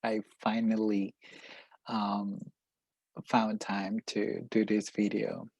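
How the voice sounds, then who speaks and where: A man's voice: on the low side, level tone, slow, vocal fry, darkish tone, slightly rough, no room sound, lo-fi sound. A man with a Peruvian Spanish accent, a native Spanish speaker, sharing personal reflections in a spiritual vlog and searching for his words as he goes. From Peru. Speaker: life coach and energy healer.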